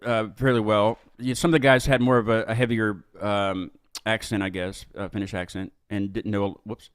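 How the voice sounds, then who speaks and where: A man's voice: lowish voice, flat delivery, moderate pace, smooth, dry recording. A man casually hosting an interview show, rambling and correcting himself as he goes. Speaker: host of a music interview show.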